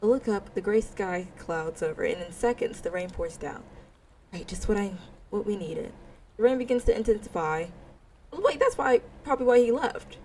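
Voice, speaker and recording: A woman's voice: mid-range voice, expressive, normal speed, darkish tone, a little hiss or hum. A woman narrating a visual novel in a let's play, reading expressively and dropping in casual side remarks. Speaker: gaming video creator reading a visual novel aloud.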